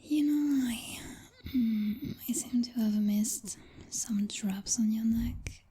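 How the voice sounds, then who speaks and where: A woman's voice: a little high, dark tone, breathy edge, heavily acted. A woman playing a possessive vampire girlfriend in an intimate ASMR roleplay, whispering breathily in a teasing, dominant, coddling purr. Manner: slow, purring, teasing, condescending pet names.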